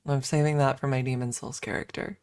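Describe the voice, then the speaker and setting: A woman streamer chatting casually in a soft, hesitant ASMR style with playful self-deprecation, close to the microphone. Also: a bass voice, fairly even intonation, fairly quick, dry recording. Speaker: ASMR livestreamer. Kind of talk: ASMR, casual rambling chat.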